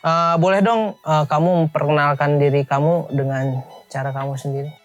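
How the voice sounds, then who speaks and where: A woman's voice: sits low, fairly even intonation, normal speed, lo-fi sound. A woman hosting a dating show and bantering with contestants. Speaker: show host. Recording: music playing behind the voice. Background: music.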